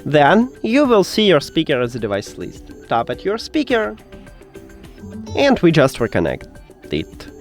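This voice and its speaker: A man's voice: fairly high pitch, huge pitch swings, unhurried, soft background music. A man, an English speaker, giving plain, step-by-step instructions as a tech tutorial host. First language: not English.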